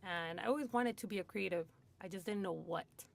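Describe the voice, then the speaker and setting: A woman's voice: medium pitch, a little fast, vocal fry. Chatty woman from Hollywood. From Hollywood, USA. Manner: chatty, lots of "like" and "you know", laughs often. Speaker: guest in recovery. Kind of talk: candid casual storytelling. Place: radio studio.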